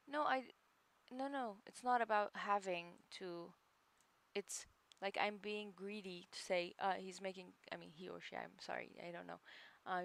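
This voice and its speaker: A woman's voice: on the low side, vocal fry. A woman hosting a casual live stream, chatting hesitantly with viewers and laughing often. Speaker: livestream host studying along.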